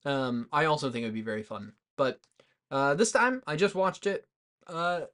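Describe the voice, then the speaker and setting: Slightly high-voiced man, tipsy and rambling. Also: normal speed, a bit bright. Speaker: podcast co-host. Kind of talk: casual movie chat.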